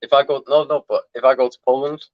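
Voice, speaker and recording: A man's voice: medium pitch, fairly even intonation, fast talker, dry recording, muffled recording. A man from northern England with a northern English accent, chatting casually and hesitantly as a guest, with many fillers. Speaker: guest, traveler. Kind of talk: casual conversation.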